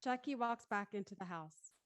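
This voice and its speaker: A woman's voice: medium pitch, moderate pace, fairly bright tone, no echo. A woman narrating a script and reading stage directions, with some casual host chat.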